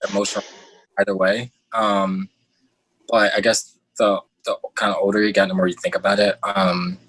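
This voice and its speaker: A young man speaking casually and haltingly as a guest on a remote call. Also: on the low side, flat delivery, a bit bright, breathy edge, no room sound, low-quality recording. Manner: hesitant, halting. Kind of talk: casual chat. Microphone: headphones on an internet call.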